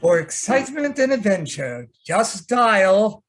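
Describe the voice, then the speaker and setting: Guy doing old timey radio announcer stuff, high voice, really lively, faint music behind. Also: measured pace. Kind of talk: old-time radio announcing, reading credits.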